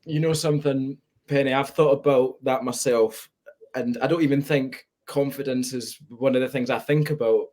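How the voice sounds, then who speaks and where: A man's voice: medium pitch, fairly even intonation, moderate pace, darkish tone. Reflective young Scottish man. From Spain. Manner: candid, reflective. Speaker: guest. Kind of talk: webinar conversation.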